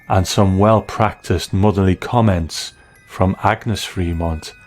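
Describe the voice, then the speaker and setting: Man, deep voice, scripted review narration, soft music behind.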